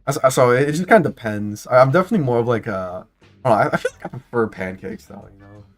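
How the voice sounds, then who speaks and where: Twenties male streamer, casual and rambly, lively pitch, faint music under the voice. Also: fast talker. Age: 20s. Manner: rambling, excitable, lots of restarts and fillers.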